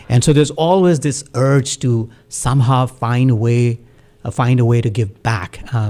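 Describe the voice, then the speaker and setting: A man from Pakistan, a guest community activist, speaking in a measured, reflective way with frequent fillers in a studio talk show. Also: mid-range voice, gravelly, dark tone. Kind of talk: earnest talk-show conversation.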